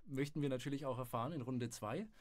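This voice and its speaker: Relaxed young man hosting a podcast. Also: medium pitch, quick pace. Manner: relaxed, friendly questioner. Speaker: podcast host, fellow voice acting student. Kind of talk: casual podcast interview. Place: studio at a music academy.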